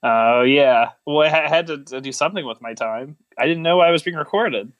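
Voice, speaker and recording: A man's voice: a little high, brisk, fairly bright tone, no room sound, highs cut off. A man co-hosting a podcast in casual chat, rambling and joking with a mock-serious manner. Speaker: podcast co-host.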